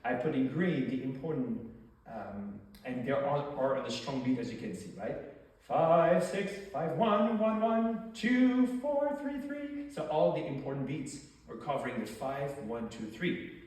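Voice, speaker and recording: A man's voice: medium pitch, very animated, a little slow, darkish tone. A man with a thick French accent giving an academic lecture, explaining points and posing rhetorical questions to his audience. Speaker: doctoral lecturer. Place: lecture hall. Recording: echoey hall, far from mic.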